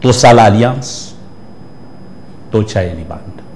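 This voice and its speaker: A man's voice: on the low side, animated, measured pace, a little mellow, slight background noise. A man, a church prophet answering interview questions with an emphatic, preaching cadence. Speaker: prophet and church leader, guest.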